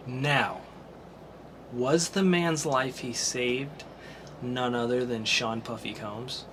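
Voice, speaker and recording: A man's voice: mid-range voice, steady tone, slow, a bit bright, a little hiss or hum. A man hosting a casual podcast who introduces segments and teases his co-host playfully.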